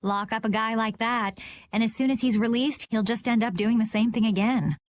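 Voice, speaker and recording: A woman's voice: a little high, normal speed, natural voice. An actress playing a cool, composed and frank woman adventurer in a video game. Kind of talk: video game cutscene acting.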